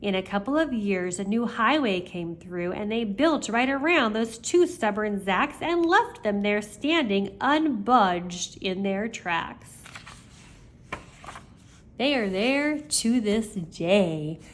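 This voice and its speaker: A woman's voice: mid-range voice, lively intonation, slow pace. An older woman, a grandmother reading children's stories aloud expressively, with chatty asides to her young listeners.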